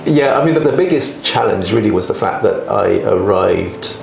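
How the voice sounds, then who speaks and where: A man's voice: fairly low pitch, animated, normal speed. A middle-aged man answering interview questions in a conversational, thoughtful way, hesitating and often repeating words. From born in Hong Kong, living in the UK before China. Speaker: interview guest, emergency doctor. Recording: roomy echo, clear background noise.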